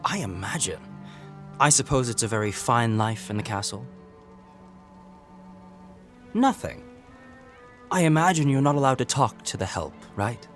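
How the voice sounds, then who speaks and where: A man's voice: mid-range voice, close to an everyday voice. A man acting a sardonic, resentful sailor in a Regency audio drama, with a mocking, needling manner. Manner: mocking, sarcastic. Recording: faint music behind the voice.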